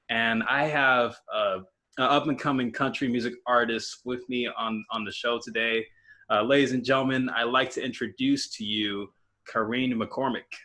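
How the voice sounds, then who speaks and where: A young man hosting a podcast interview, casual and halting, full of fillers and restarts. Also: medium pitch, a bit bright. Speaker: podcast host.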